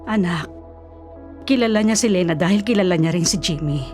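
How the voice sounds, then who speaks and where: A woman's voice: lowish voice, brisk, darkish tone, soft background music, lightly acted. An actress playing an older, worried and caring Filipino mother in a radio drama, fussing and nagging at her grown son. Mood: reproachful, worried.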